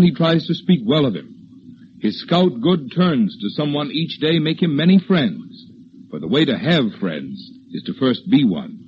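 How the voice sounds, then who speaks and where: A man reading a scripted sponsor message as a 1940s radio show announcer. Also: medium pitch. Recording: muffled, hissy old radio broadcast.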